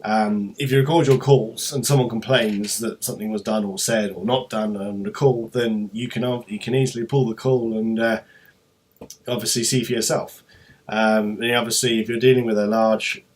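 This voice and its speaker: A man's voice: on the low side, steady tone, normal speed, a little mellow. A man presenting an informal, unscripted company explainer vlog, talking in a hesitant, rambling way with trailing asides.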